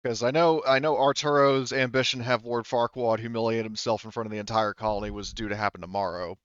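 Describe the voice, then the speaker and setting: A man's voice: medium pitch, steady tone, fairly bright tone, clear, resonant tone, no room sound, dull audio. A man running a tabletop roleplaying game as game master, narrating off the cuff and searching for words. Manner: halting, hedging, searches for words.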